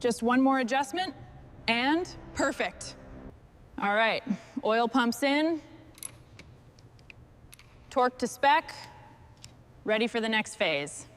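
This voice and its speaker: Woman mechanic, highish voice, short work callouts, bit of workshop noise behind her. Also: animated, takes it slowly, pauses often. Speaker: machinery restoration mechanic. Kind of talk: short scripted work instructions and remarks.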